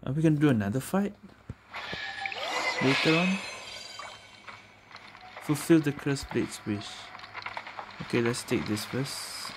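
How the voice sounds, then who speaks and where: A man's voice: medium pitch, lively intonation, takes it slowly, long pauses, noisy background. A man giving casual commentary as a game streamer while playing. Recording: game music behind the voice.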